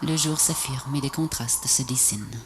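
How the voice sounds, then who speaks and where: A woman recites poetry as a voice-over in a solemn, measured, breathy and often half-whispered delivery. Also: rumbling low. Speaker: poet narrator of a video poem. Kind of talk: poetic recitation, scripted voice-over. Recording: noisy VHS transfer, ambient noise.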